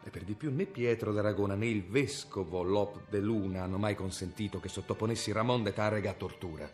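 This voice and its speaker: An actor playing a stern, cold medieval inquisitor in a radio drama, speaking in a severe, commanding, formal way. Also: male voice, lowish voice, brisk, darkish tone, soft background music, close to an everyday voice.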